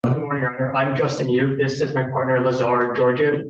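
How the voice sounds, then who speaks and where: A man's voice: medium pitch, level tone, brisk, muffled recording, a little hiss or hum. Polite male law student, deferential, echoey distant courtroom with faint clicks.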